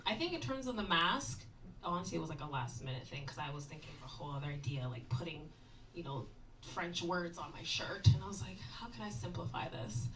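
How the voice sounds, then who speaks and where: A woman's voice: fairly low pitch, animated, fairly quick, a crowd in the background. A woman dancer answering audience questions in a casual, candid, rambling way. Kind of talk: post-show talkback. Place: performance venue. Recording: distant mic, faint ambience.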